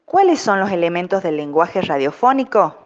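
Argentine lady teacher, kinda low voice, explaining stuff and asking the listeners questions. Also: expressive, normal speed, a little mellow, dull audio, faint music behind. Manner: didactic, addresses listeners directly. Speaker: teacher and presenter of a school audio lesson. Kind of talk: educational presentation with rhetorical questions to listeners.

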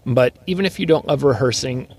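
A man who is a musician guest on a podcast, speaking in a thoughtful, measured way. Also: mid-range voice, a little mellow, slightly rough. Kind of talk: casual interview chat.

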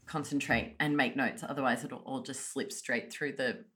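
Low-voiced woman in her 30s, podcast co-host, jokey and laughing a lot, super casual. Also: moderate pace. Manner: joking, laughs often, lively. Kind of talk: casual chat.

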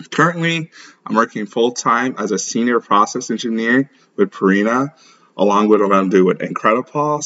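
Low-voiced man, dull lo-fi audio. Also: a bit bright. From born in Lagos, Nigeria; grew up in St. Louis, USA. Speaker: podcast host. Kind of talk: casual solo podcast introduction. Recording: dull, muffled lo-fi podcast audio.

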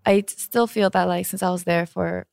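A woman's voice: on the low side, steady tone, normal speed, creaky, a little mellow, smooth, no echo. A woman in her twenties from Southern California, chatting as a guest on an interview show. She laughs often and talks in a chatty way. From United States, Southern California. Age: 20s. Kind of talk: casual interview chat.

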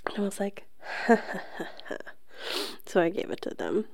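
A woman co-hosting a casual podcast in a soft, whispery ASMR voice, playful and conversational, close on the mic. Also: medium pitch, sing-song, slow, creaky, dry recording. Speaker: podcast co-host.

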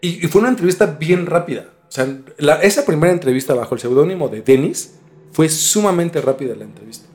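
A man's voice: a little high, lively intonation, fast talker, a little mellow. A young Mexican man telling a story as a podcast host in a casual, slangy conversational way. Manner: informal, slangy.

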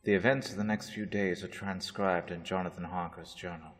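A man narrating a radio drama in a measured, even way, with long reverb. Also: sits low, soft background music, lightly acted.

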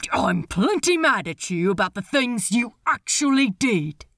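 A woman's voice: fairly high pitch, big pitch swings, a little slow, a bit bright, dry recording, clean studio sound, full character voice. A woman voicing an angry, brooding male pirate captain, blunt and irritable, in an audiobook story. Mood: irritated. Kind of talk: acted audiobook dialogue.

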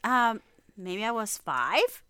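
A woman's voice: a little high, very animated, takes it slowly, pushed and bright. A woman from Puerto Rico, a guest medium speaking earnestly and matter-of-factly in a casual podcast chat.

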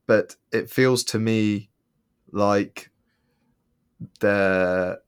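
A man with a fairly low voice co-hosts a casual podcast. He rambles along with plenty of ums and false starts. He keeps throwing in jokes. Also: clear, resonant tone. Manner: rambling, many ums and restarts, joking. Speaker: podcast co-host.

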